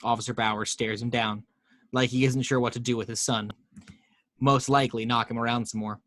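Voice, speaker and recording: Guy reading stage directions for a script read, mid pitch, close dry sound. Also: level tone, brisk, a bit bright, no echo. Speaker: reader of action descriptions in a table read. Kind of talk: scripted narration of screenplay directions.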